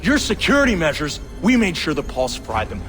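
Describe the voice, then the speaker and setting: An actor playing a smug, ruthless rogue agency boss who taunts with drawn-out emphasis in a dramatic video game. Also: male voice, a little high, huge pitch swings, bright, forceful tone, faint music behind, heavily acted. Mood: smug, menacing.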